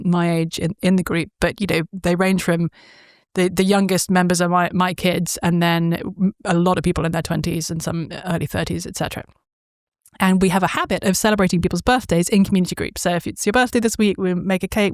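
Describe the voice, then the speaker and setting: A woman's voice: fairly low pitch, no echo, studio quality. A woman, hosting an interview in casual chat, telling stories with many fillers and frequent laughs. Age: 40s. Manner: chatty storyteller, laughs often, many fillers. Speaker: podcast host.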